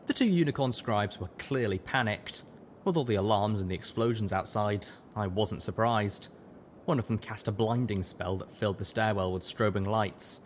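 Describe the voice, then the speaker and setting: A man's voice: on the low side, moderate pace, slight background noise. A man reading scripted first-person story narration as an audiobook narrator. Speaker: audiobook reader, show narrator.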